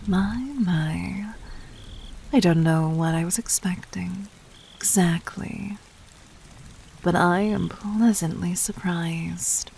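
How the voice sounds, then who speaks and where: A woman playing a poised, seductive demon queen in an intimate audio drama, breathy and husky, speaking with formal, teasing condescension. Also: lowish voice, steady tone, slow, pauses often, darkish tone, a little hiss or hum. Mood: charmed, condescending.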